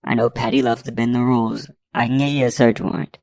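Woman doing a deep, brash male police chief. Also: fairly even intonation, quick pace, a little mellow, no echo. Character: blustering, defiant male local police chief.

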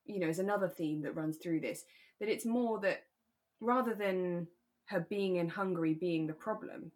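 A British woman co-hosting a podcast in a relaxed, chatty and reflective discussion of a radio drama. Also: fairly low pitch, moderate pace. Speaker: podcast co-host.

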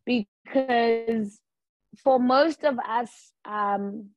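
Woman from Africa, slow and laid-back, somewhat high voice, dry dull recording. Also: no echo. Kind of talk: casual chat.

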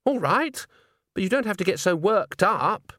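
A man's voice: sits high, lively intonation, moderate pace, sharp tone, no room sound, clean studio sound, voice pushed up. A man voicing an airy, dismissive young woman in a storybook, with a breezy, condescending manner. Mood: flustered.

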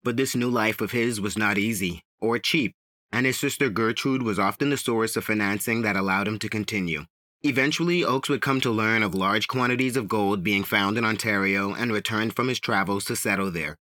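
Guy hosting a true crime podcast, lowish voice, reading a script in measured phrases, dry close mic. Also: bright, forceful tone, no echo. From the Bahamas. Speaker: podcast host.

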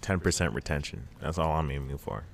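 A man's voice: extremely low pitch, lively intonation, fairly quick, vocal fry, a little hiss or hum. A 21-year-old man chatting as a podcast guest in his living room, joking dryly and rambling. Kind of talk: casual podcast chat.

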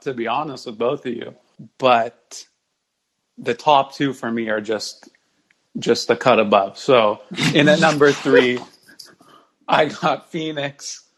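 A man with an average-pitched voice joins a sports podcast as a guest. He talks casually but lays out his points in measured, countdown-style phrasing. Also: a bit bright, poor audio.

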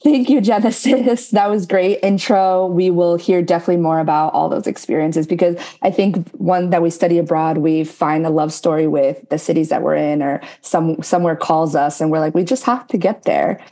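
A woman's voice: fairly low pitch, steady tone, normal speed, hardly any pauses, warm tone. A young woman from New Jersey moderating a panel, introducing speakers and herself in an upbeat, friendly way. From New Jersey, United States.

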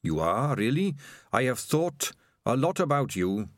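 A man's voice: medium pitch, big pitch swings, a little slow, no echo, clean studio sound. A man voicing a small, earnest rat.

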